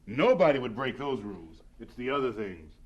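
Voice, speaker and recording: Pragmatic college president, middle-aged, brisk lecturing manner, lively swooping intonation, radio drama. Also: male voice, medium pitch, highs cut off. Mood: stern, cautioning.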